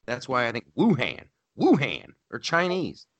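A man co-hosting a podcast, in animated political chat, often repeating himself and mocking others in put-on voices. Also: medium pitch, a little slow, dull audio. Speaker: podcast co-host.

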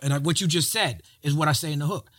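A man hosting an interview podcast, telling stories in animated, fast bursts in casual chat. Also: on the high side. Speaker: podcast host.

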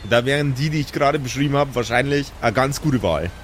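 A man narrating a live improvised tabletop game as game master, setting scenes in an unhurried way with small asides. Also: medium pitch, a little hiss or hum.

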